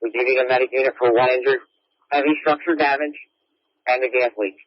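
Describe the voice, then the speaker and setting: A man's voice: mid-range voice, steady tone, fairly quick, dull audio. A man dispatching emergency fire traffic over a two-way radio scanner feed, speaking in clipped, procedural phrases.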